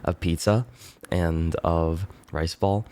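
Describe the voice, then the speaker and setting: Low-voiced, stuffy-nosed man chatting. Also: fairly even intonation, slow pace, a little mellow. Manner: laid-back, rambling, swears casually, keeps clearing throat. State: congested, stuffy nose. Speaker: streamer. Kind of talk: casual chat with viewers.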